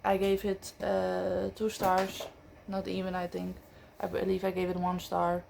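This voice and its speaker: A woman's voice: on the low side, monotone, a little slow, creaky, noisy background. A woman who speaks English as a second language, reviewing books in a casual, chatty way with frequent fillers and self-corrections. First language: not English. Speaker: book vlogger. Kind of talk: casual book review chat.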